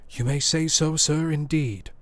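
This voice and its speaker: A man voicing a composed, formal older male lawyer who reasons calmly, close to his own voice. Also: fairly high pitch, unhurried, no echo, pitched above the performer's own voice, natural voice.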